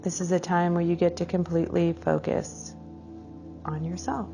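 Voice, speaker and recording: A woman leading a guided meditation for a class in a soothing, unhurried way, drawing out phrases and letting the endings trail off softly. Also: lowish voice, level tone, vocal fry, faint music behind.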